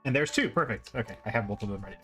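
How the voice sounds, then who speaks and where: A man's voice: on the low side, animated, racing, sharp tone, soft background music. A man chatting casually as he streams a video game. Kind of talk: casual live stream commentary. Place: indoor live stream with game music behind.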